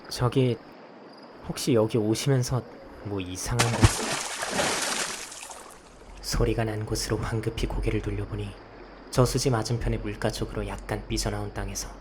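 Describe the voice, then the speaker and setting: Man playing young male protagonist, low pitch, hesitant, subdued, scripted narration and dialogue, clear cricket ambience. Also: steady tone, measured pace, pauses often, noisy background, lightly acted. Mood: hesitant, polite. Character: guarded young man investigating eerie rumors. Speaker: narrator and protagonist of a visual novel. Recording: clear night insect ambience behind voice.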